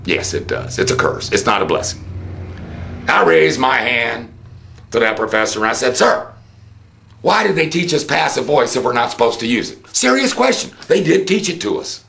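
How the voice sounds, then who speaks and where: A very low-voiced American man delivers an off-the-cuff commentary. His voice is full of gravelly fry and swings widely in pitch. He is theatrical, leaning on rhetorical questions and stretching words for emphasis. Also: a bit bright. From United States. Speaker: host of a political commentary show. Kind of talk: unscripted political monologue and storytelling.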